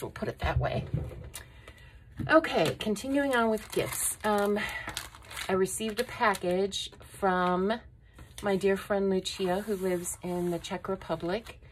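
A woman vlogging a casual craft haul at her table, unhurried and admiring, with frequent ums. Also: mid-range voice, noisy background. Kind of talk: casual show-and-tell haul. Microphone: overhead camera on a tabletop.

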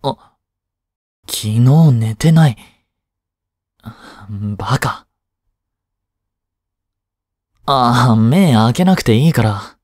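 A man plays a young male tutor in an intimate audio drama. His voice is mid-pitched, mellow and soft, recorded dry. He takes his time, soothing and gently scolding with a teasing, caring manner. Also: lively intonation, slow pace, long pauses, no echo. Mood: gentle, soothing. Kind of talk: intimate one-sided voice drama aimed at women.

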